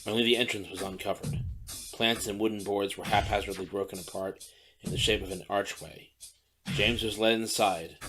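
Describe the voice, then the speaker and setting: A man reading a dark audiobook story as narrator, in a steady descriptive manner. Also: lowish voice, low-quality recording. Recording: narration over loud music bed.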